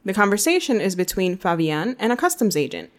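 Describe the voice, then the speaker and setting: A woman's voice: mid-range voice, big pitch swings, normal speed. A woman hosting a language lesson, moving between presenting and casual chat.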